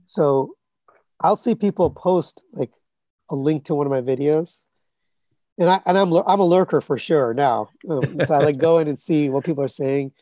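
A man's voice: fairly high pitch, moderate pace, dark tone, no room sound. Scratchy-voiced man in his thirties or forties. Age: 30s to 40s. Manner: chatty, rambling with many fillers and restarts. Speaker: guest, collectibles reviewer. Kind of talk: casual podcast conversation.

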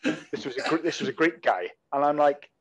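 A man's voice: on the high side, lively intonation, brisk. A man with a Welsh accent co-hosting a casual podcast, clipping his words short and swearing freely. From Wales. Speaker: podcast co-host. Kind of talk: casual chat. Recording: slightly dull lo-fi sound.

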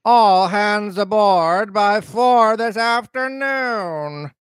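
A man voicing a bluff, excitable gentleman squire in a storybook, with emphatic exclamations. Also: sits high, drawn-out words, no echo, clean studio sound, exaggerated voice. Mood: hearty approval.

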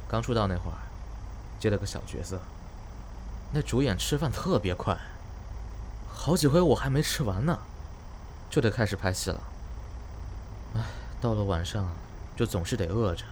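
Drama lead: plain-spoken young star male actor, low pitch, casual, guarded delivery. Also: expressive, normal speed, pauses often, a little mellow, a little hiss or hum, close to an everyday voice. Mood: casual, a little awkward. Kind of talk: Chinese audio drama acting.

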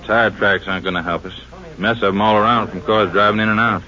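A man's voice: lowish voice, fairly even intonation, quick pace, sharp tone. A man acting a plain-spoken small-town Texas sheriff in a 1950s radio crime drama, folksy and laconic. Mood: matter-of-fact. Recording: muffled, noisy 1950s radio recording.

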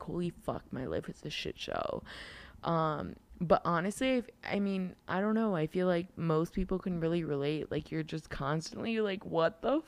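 A woman in her twenties hosting a solo podcast, hoarse from losing her voice, talking candidly and rambling with lots of fillers and restarts. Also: low-pitched, dry recording. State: hoarse from a lost voice. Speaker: podcast host. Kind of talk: casual solo podcast talk.

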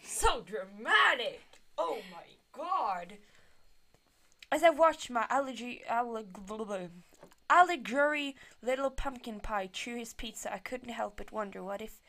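A woman's voice: on the high side, lively intonation, a little slow, sharp tone. A young woman reading a fanfiction aloud with a friend, incredulous and tripping over words. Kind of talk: casual comedic reading.

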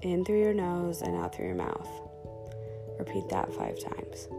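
A woman hosting a podcast, talking softly through a calm guided self-talk session, her voice scratchy from a sore throat. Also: on the low side, unhurried, over music. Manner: soft-spoken, chatty with fillers. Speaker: podcast host. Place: dark room at home under blankets.

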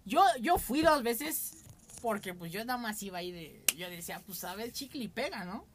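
A man's voice: high-pitched, animated, normal speed, fairly bright tone, slight background noise. A young Mexican man with a Mexican accent, telling candid stories in a casual, rambling podcast chat as its host.

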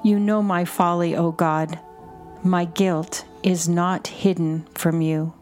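A woman guiding contemplative prayer, reading in short, measured and deliberate phrases. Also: lowish voice, fairly even intonation, a little mellow. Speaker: prayer guide and podcast host. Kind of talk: slow, repetitive guided contemplative prayer reading. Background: music under the voice.

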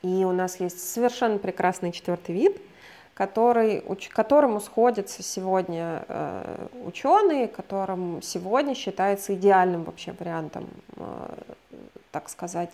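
Female guest expert from Russia; soft, mellow delivery, talks things through slowly and qualifies as she goes. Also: mid-range voice. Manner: explains at length, often hedging her words. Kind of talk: conversational explanation.

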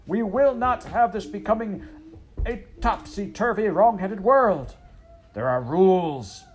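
A man reading aloud, voicing a stern, pompous king who is quick to anger and shouts his commands. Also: medium pitch, expressive, husky, lo-fi sound. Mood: thundering.

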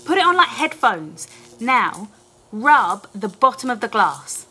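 A woman playing an eager, excitable library helpline worker in a family audio play, helpful and encouraging. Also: on the high side, slow pace, slightly rough, soft background music, exaggerated voice, poor audio.

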